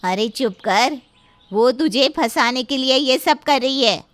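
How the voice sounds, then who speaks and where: A woman playing a sharp, smug, callous mother. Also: high-pitched, takes it slowly, no room sound. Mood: scolding. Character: smug, callous middle-aged mother of a spoiled son. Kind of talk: dramatized Hindi moral story dialogue.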